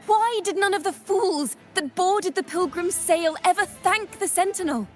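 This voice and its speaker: A woman voicing a young female bard in a video game, defiant and playful, telling her tales in a theatrical, poetic manner. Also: extremely high pitch. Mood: solemn, stirring. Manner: theatrical, measured, poetic delivery. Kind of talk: dramatic storytelling in game voice acting. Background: music.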